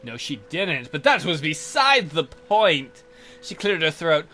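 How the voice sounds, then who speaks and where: Lively high-pitched man joking through a game. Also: unhurried. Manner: crude, mocking humour. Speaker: let's play host. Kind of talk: joking commentary and narration of a visual novel.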